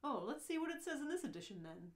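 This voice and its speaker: A woman plays a bewildered Earthling adrift in space in a radio play. The voice is fairly high and swoops expressively, questioning and exasperated. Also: big pitch swings, quick pace. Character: bewildered, indignant Earth human stranded in space. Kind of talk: amateur radio play reading.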